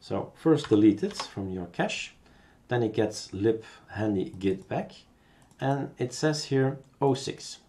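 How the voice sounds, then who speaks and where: Belgian guy doing a casual computer tutorial, lowish mellow voice, kinda thinking out loud while he works. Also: expressive, slow pace, slight background noise. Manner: unscripted, thinking aloud while working. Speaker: software tutorial presenter.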